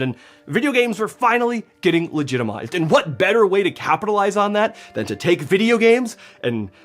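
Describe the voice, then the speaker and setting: A man with a fairly high, very animated voice narrates a scripted video essay. He is emphatic and wry, pausing dramatically for effect. Music plays softly behind him. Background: background music.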